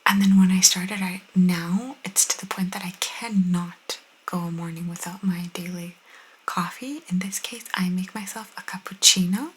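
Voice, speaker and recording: A young woman whispering softly and intimately as an ASMR host, reading and chatting casually close to the microphone. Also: on the low side, fairly even intonation, moderate pace, a little hiss or hum, poor audio. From Italian cultural background. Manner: soft, intimate, chatty asides.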